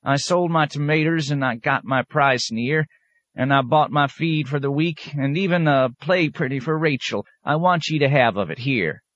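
A man's voice: a little high, moderate pace, a bit bright, dull audio, voice pushed up, close to an everyday voice. A man voicing an old, toothless tomato woman who drawls in rustic, old-fashioned speech. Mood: wheedling.

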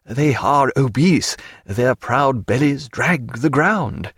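A man voicing a learned, secretive ship's doctor with a measured, precise manner, in an audiobook. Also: mid-range voice, expressive, a bit bright, husky, dry recording, studio quality, exaggerated voice. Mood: dry, precise.

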